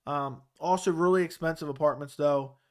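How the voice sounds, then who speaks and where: Smooth-voiced Baltimore man chatting casually. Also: fairly high pitch, normal speed. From Baltimore area. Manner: loose, rambling, with fillers and restarts. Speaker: host of a live chat show. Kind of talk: casual live chat reading viewer comments.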